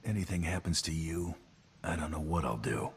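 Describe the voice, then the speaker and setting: An actor playing a big, flirtatious tough mercenary in a video game, teasing and laconic. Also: male voice, very deep voice, expressive, moderate pace, gravelly, a little hiss or hum, exaggerated voice. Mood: protective.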